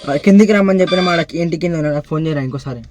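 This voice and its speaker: A man's voice: fairly high pitch, rapid-fire, a little mellow. A young man with a Telangana Telugu accent chatting casually and bossing a friend around in slangy speech, as host of a prank video. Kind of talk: casual chat, coaching a friend during a prank call.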